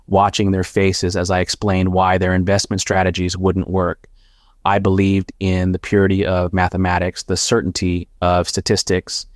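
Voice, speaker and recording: An actor playing a sardonic, haunted former risk analyst in an audio drama, narrating in a measured, wry, confessional way. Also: male voice, low-pitched, smooth, no echo, studio quality, natural voice. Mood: wry, grim. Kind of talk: first-person dramatic audio fiction narration.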